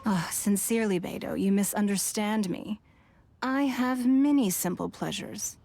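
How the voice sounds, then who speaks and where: A woman's voice: mid-range voice, measured pace, creaky, a little mellow, a little hiss or hum. An actress playing a refined, composed lady of leisure in a video game. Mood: composed, sincere. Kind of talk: scripted video game voice acting.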